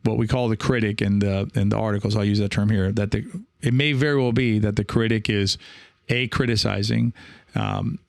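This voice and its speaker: Guy doing a laid-back podcast, low gravelly voice, rambles a bit, keeps checking in. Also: fairly even intonation, moderate pace, no room sound, studio quality. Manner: hesitant, rambling, reassuring, often checking in with "right?". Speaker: podcast host and experienced pickleball player. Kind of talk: casual solo podcast talk, reading and answering listener comments.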